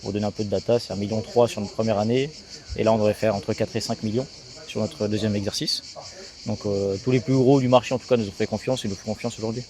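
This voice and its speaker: A man's voice: fairly low pitch, fairly even intonation, fast, darkish tone. A man in his thirties from Alsace giving a talkative, casual interview, peppered with business jargon. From Alsace, France. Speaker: sales agency co-founder being interviewed.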